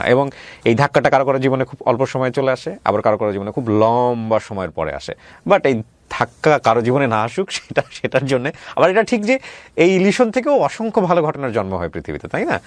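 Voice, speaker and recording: Fast, animated Bangladeshi man interviewing. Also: mid-range voice, no echo. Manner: probing, mixes English words into speech. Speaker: talk show host interviewer. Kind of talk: conversational interview.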